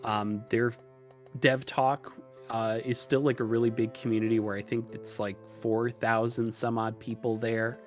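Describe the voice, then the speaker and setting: A man's voice: mid-range voice, flat delivery, measured pace. Man, mid-range voice, casual interview talk, rambling and hesitant, faint music behind. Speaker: podcast guest who runs a tutorial channel. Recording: music mixed under the voice.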